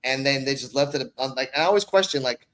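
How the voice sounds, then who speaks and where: A man's voice: mid-range voice, fast talker, fairly bright tone. Rambling, slangy man from the Bronx. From the Bronx, New York, United States. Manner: rambling, slangy, swears freely. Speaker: guest, online content creator and podcaster. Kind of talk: casual conversational podcast talk.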